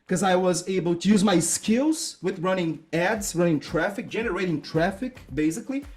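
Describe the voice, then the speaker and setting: A man's voice: high-pitched, normal speed. A man from Brazil, hosting and giving an upbeat, technical self-introduction in a casual presentation. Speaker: host.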